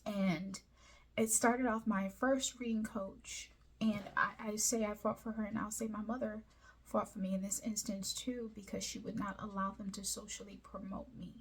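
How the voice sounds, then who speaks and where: A woman from Liberia who was raised in the United States, sharing a personal story with viewers in an earnest, deliberate, articulate way, with a husky, breathy voice. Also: medium pitch, level tone, a little hiss or hum, rough recording. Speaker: host of a personal video series.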